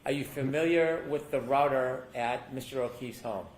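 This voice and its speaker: A man with a mid-pitched voice questions a witness in formal, polite legal language. The room is clearly echoey, with faint noise behind him. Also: normal speed. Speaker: prosecuting lawyer examining a witness. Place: courtroom.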